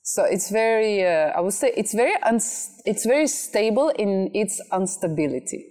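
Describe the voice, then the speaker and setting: A woman's voice: medium pitch, expressive, normal speed, warm tone. A cheerful woman in her thirties, born in Russia and raised in France, chatting as an interview guest outdoors at a villa and laughing often. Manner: cheerful, laughs often, speaks in short phrases. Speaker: guest, MC and content creator. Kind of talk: casual interview chat.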